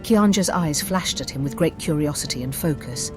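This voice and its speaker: A woman's voice: sits low, lively intonation, moderate pace, over music. A woman giving scripted, dramatic narration as the storyteller of a fantasy audiobook.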